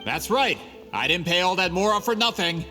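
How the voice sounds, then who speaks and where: A man's voice: high voice, lively intonation, moderate pace, pushed and bright. A man voicing a greedy, scheming male printing merchant in a game cutscene, smug and scornful. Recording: game audio with reverb and music.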